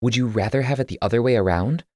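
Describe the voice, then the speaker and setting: A man's voice: medium pitch, quick pace, warm tone, no echo, studio quality, pitched above the performer's own voice, close to an everyday voice. A man voicing a guarded, duty-bound keeper of keys who reasons firmly but civilly in an audiobook. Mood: exasperated. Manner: defensive, reasoning, restrained. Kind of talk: audiobook character dialogue.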